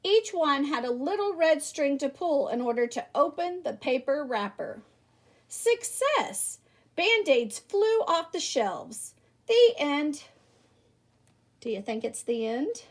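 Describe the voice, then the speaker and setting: A woman reads a children's story aloud in a high voice. Her delivery is lively and emphatic, with big swings in pitch, and she addresses her young listeners directly. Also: takes it slowly. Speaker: storybook reader. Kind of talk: expressive children's read-aloud.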